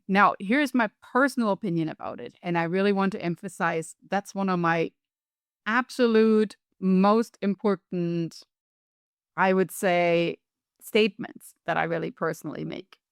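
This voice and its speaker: A middle-aged woman with a European accent talks as an interview guest, upbeat and emphatic. Her voice sits at an ordinary pitch for a woman. The sound is dry and close, with no room echo. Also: moderate pace, smooth. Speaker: podcast guest, coach. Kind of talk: conversational interview.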